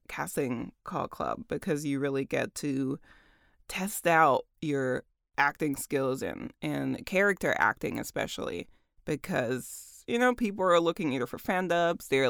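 A woman voice actor with a deep voice, chatting casually about her work, laughing often and using lots of fillers. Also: creaky, fairly bright tone, clean tone, dry recording, studio quality. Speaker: voice actor and audiobook narrator.

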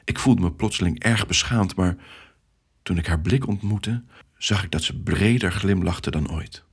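Low, slightly husky male storyteller. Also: a little slow. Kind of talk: scripted first-person children's story narration.

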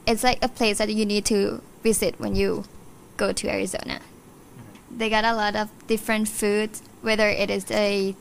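A young woman from Thailand, nineteen, talks as a podcast guest. Her voice has a gravelly edge, and a faint hiss sits behind it. She chats casually, with plenty of fillers. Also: mid-range voice, fairly bright tone. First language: Thai. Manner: chatty, laughs often, many fillers. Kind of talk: casual interview chat.

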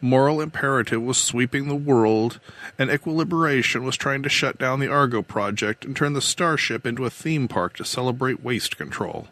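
A man's voice: medium pitch, normal speed, a bit bright, natural voice. A man voicing a weary, defiant, idealistic older woman who captains a starship in an audiobook. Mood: resigned, confessing. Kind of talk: audiobook character dialogue.

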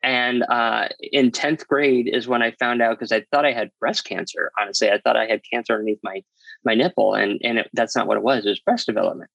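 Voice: medium pitch, fairly even intonation, brisk, vocal fry, a bit bright, dry recording, lo-fi sound. An interview guest telling a personal story in a candid, explanatory, matter-of-fact way.

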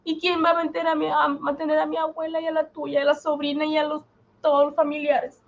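A man's voice: extremely high pitch, completely flat delivery, fast talker, creaky, a bit bright, highs cut off, voice pushed up, exaggerated voice. A man voicing a fretful, doubting wife who whines and scolds fondly in a comic radio drama. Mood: exasperated. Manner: whining, scolding, affectionate diminutives.

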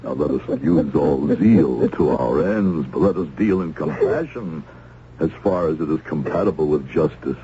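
An actor playing a solemn, stern but compassionate minister in a radio drama, speaking gravely and with measure. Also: male voice, very deep voice, normal speed, warm tone. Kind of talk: old-time radio drama acting. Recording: dull, noisy old radio recording.

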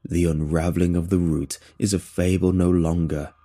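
A man voicing an alien machine voice that crackles and roars its proclamations over loudspeakers to its lost colleagues. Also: deep voice, little pitch movement, normal speed, voice pushed down. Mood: zealous proclamation. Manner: crackling, roaring, eager proclamations. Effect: blaring over scattered loudspeakers.

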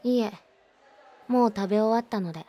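A woman's voice: medium pitch, fairly quick, mellow, natural voice. A woman playing a blunt, prickly teenage schoolgirl in a voice drama, who speaks curtly and sarcastically. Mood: cold. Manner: curt, sarcastic, cold. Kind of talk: voice drama acting.

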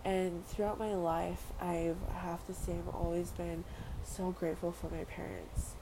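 Young woman from Samoa, earnest vlogger, low and flat delivery. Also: unhurried. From Western Samoa. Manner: earnest, repeats phrases, casual fillers. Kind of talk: heartfelt personal monologue. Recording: noisy background ambience.

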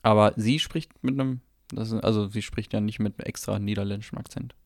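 A man's voice: lowish voice, steady tone, brisk, dry recording, clean studio sound. A man co-hosting a film podcast, discussing movies in a casual way.

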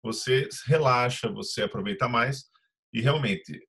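A man's voice: medium pitch, a little slow, creaky, fairly bright tone, husky. A man from Brazil with a Brazilian accent, a tour guide talking conversationally in an interview. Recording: dull, muffled lo-fi audio.

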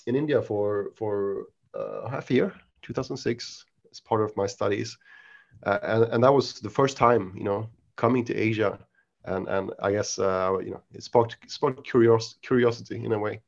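Swedish-accented man, halting and thoughtful. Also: lowish voice, gravelly. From Sweden. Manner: halting, many restarts and fillers. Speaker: podcast guest, investment researcher. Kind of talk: casual interview answers. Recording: dull lo-fi audio.

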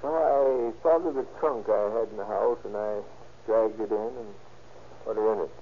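Actor as gravelly, unrepentant killer in old radio drama. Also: male voice, on the low side, fairly even intonation, normal speed, lightly acted. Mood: calm, dispassionate. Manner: dispassionate, matter-of-fact, folksy. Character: calm, remorseless little thin man confessing a murder. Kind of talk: 1930s radio drama acting. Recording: muffled old radio recording, faint noise.

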